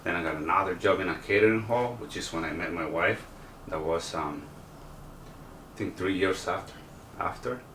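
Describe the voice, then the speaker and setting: A very deep-voiced man from El Salvador talks through his story haltingly, filling gaps with ums. He sits a bit back from the mic in a roomy, echoing space. A faint hum of noise sits underneath. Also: bright, forceful tone. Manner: halting, many fillers. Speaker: contestant. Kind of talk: personal interview. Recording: roomy sound from a distance.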